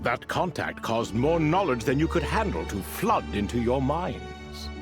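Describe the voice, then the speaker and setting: A man's voice: medium pitch, sing-song, measured pace, over music. An actor playing an ancient ibis king spirit in a video game, courteous and wistful, with a theatrical, leisurely storytelling manner. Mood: explanatory, breezy.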